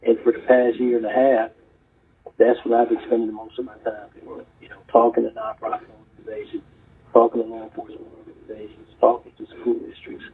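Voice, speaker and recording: A man's voice: medium pitch, little pitch movement, a little fast. A middle-aged man from South Carolina, a retired police chief telling his story in an earnest, rambling way as a podcast guest. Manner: earnest, storytelling, many fillers and restarts. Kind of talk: casual podcast interview. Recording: tinny phone-line audio.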